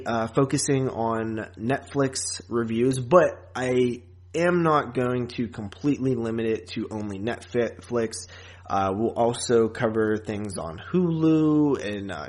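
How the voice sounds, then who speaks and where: A man hosting a podcast in casual chat, rambling along informally and chuckling often. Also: mid-range voice. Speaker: podcast host. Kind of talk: casual chat about horror movies and podcasting.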